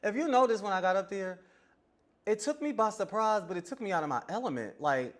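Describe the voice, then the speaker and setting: A woman's voice: mid-range voice, lively intonation, fairly quick. A woman over forty telling stories casually and emphatically as a podcast guest, with sassy, slangy phrasing. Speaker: podcast guest, ballroom scene veteran. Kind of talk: casual conversational storytelling.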